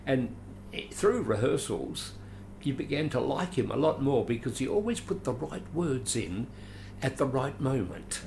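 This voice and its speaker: Gravelly older Australian man reminiscing. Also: mid-range voice, very animated, a little slow, darkish tone, slight background noise. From Adelaide, Australia. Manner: rambling, chatty, self-deprecating with dry asides. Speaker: veteran actor, interview guest. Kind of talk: reminiscing conversational interview.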